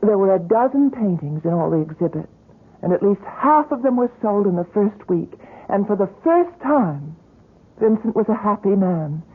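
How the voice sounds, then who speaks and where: A woman's voice: medium pitch, narrow, tinny audio, close to an everyday voice. An actress playing a devoted, encouraging woman who works at a gallery, in old radio drama style. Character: devoted, encouraging woman gallery worker recounting her painter friend's story. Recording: thin band-limited old radio broadcast.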